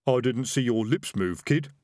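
A man playing a cautious, chatty highwayman in a storybook reading, matter-of-fact and methodical. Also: lively intonation, normal speed, darkish tone, no echo, studio quality, lightly acted. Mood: relieved, threatening.

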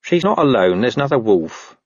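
A man's voice: medium pitch, very animated, a little fast, darkish tone, husky, dry recording, dull audio, voice pushed up. A man voicing a scruffy, streetwise talking dog for an audiobook, with colloquial, hesitant, wheedling speech. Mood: awkward, hedging. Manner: colloquial, hesitant, working-class phrasing. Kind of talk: audiobook character voice.

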